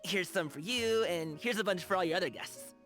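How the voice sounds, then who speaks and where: A man's voice: sits high, brisk, sharp tone, faint music behind. An actor playing a cheerful, earnest young man in a video game, chatting in a casual, slangy way. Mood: cheerful, eager. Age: young adult. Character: cheerful, earnest young male lion-dancer and tea lover. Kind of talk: animated video game character dialogue.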